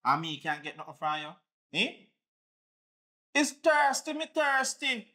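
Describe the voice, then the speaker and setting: A man's voice: extremely high pitch, big pitch swings, a little slow, pushed and bright, exaggerated voice. A man with a Jamaican accent playing a defensive, boastful, unfaithful husband.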